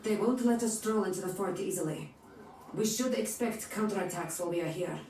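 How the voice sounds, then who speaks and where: A woman's voice: medium pitch, fairly quick, darkish tone, lightly acted. A woman playing a fierce, hot-tempered mercenary captain in a video game, blunt and commanding. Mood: blunt, businesslike. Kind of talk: dramatic video game acting. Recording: echoey, distant, music underneath.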